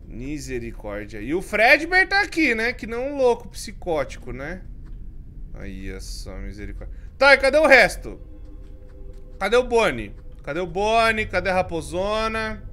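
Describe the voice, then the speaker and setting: High, lively Brazilian man gaming. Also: normal speed. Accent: Brazilian Portuguese. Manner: jumpy, swings from mumbling to yelling. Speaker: gaming streamer. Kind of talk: let's play commentary. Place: home streaming room with colored lights.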